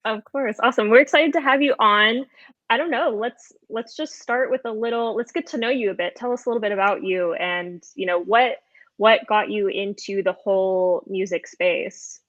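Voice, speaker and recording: A woman's voice: medium pitch, normal speed, a bit bright, no echo, dull audio. A woman hosting a conversational podcast interview, speaking in a casual, enthusiastic way. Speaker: podcast host.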